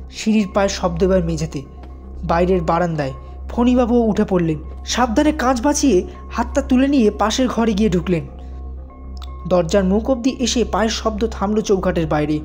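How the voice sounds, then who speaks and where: A man narrating an audio story in a dramatic, suspenseful and expressive way. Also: high-pitched. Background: background music.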